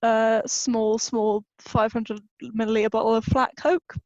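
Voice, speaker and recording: High-pitched woman bantering over a muffled call. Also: little pitch movement, a little slow, darkish tone, dry recording. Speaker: podcast co-host. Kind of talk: casual chat. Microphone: video call. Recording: dull, band-limited call audio.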